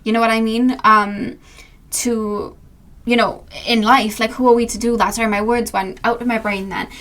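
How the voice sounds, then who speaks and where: A woman's voice: on the high side, level tone, normal speed, a bit bright. A young woman giving a channeled tarot reading in a meandering way, searching for words with many fillers. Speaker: tarot reader.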